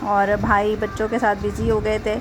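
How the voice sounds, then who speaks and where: A woman's voice: mid-range voice, monotone, normal speed, background noise. A young Pakistani woman with a Pakistani Urdu accent, chatting casually in a vlog voice-over. She laughs often and uses many fillers. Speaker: vlogger.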